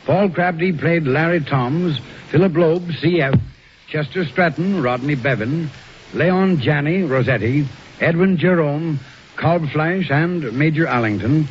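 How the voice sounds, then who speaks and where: A man with a slightly high voice announces in formal 1940s radio style. The old broadcast recording is muffled, with faint noise. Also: unhurried.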